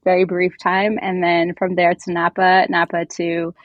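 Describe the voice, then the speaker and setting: A woman's voice: lowish voice, monotone, normal speed, dry recording, dull audio. A talkative woman, a native Turkish speaker raised in Canada and the US, chatting informally as a podcast guest. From immigrant raised in Canada and the US. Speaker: podcast guest, beer content creator. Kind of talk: casual chatty interview.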